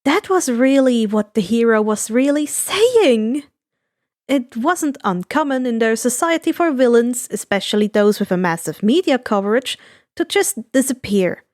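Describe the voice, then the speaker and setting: A high-voiced woman narrates a piece of fan fiction. Her delivery is expressive and animated in pitch, and the recording is close and dry. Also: a little slow, dry recording. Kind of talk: expressive scripted story narration.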